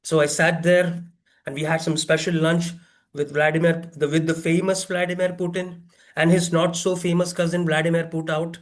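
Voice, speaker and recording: A man's voice: fairly high pitch, flat delivery, normal speed. A man giving a humorous, exaggerated storytelling speech, theatrically acting out dialogue and putting rhetorical questions to listeners. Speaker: workshop speaker telling a tall tale.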